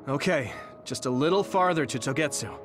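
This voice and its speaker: A man's voice: a little high, lively intonation, fairly quick, natural voice. Guy voicing a polite young squad leader in a video game, earnest, music playing behind him. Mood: resolute. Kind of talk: English video game dub acting. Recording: music under the voice.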